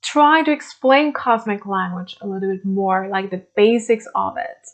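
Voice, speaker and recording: A woman whose first language is Swedish, explaining spiritual ideas in English with many pauses, fillers and restarts. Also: mid-range voice. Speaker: spiritual teacher. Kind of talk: unscripted explanatory talk.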